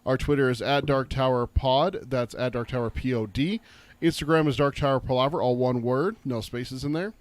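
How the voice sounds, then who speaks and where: A man co-hosting a casual podcast, listing links and social accounts in a brisk, matter-of-fact way, just getting over a cold. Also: mid-range voice, dry recording. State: recovering from a cold, coughing. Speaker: podcast co-host.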